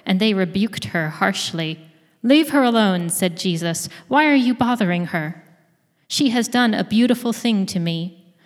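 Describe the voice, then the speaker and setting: Female lay reader, measured scripture reading, echoing sanctuary. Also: mid-range voice. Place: church sanctuary. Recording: long church reverb.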